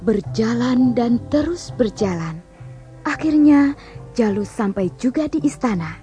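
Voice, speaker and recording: A woman's voice: a little high, expressive, measured pace, music in the background. A woman storyteller narrating a scripted children's tale.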